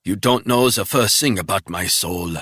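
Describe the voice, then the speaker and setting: A man's voice: sits low, normal speed, a bit bright, husky, dry recording. A man voicing a panicked middle-aged male spy who pleads and then sneers at his captor, in a dry, close audiobook reading. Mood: taunting.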